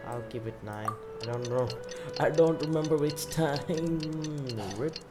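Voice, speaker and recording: A man's voice: a little high, slow. A man giving casual, offhand gameplay commentary, half talking to himself. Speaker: gaming YouTuber. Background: loud game music.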